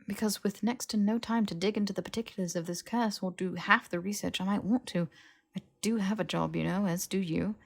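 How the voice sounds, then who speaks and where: A woman's voice: mid-range voice, fairly even intonation, fairly quick, gravelly. A woman voicing a sensible, bookish young witch with a precise, scolding, exasperated manner in an audiobook. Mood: cautioning. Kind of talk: fiction audiobook character voice.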